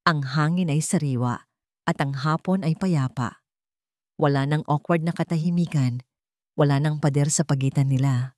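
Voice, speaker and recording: A woman's voice: low-pitched, moderate pace, a little mellow, no echo, clean studio sound. A woman narrating a scripted romance story in a dramatic storytelling style.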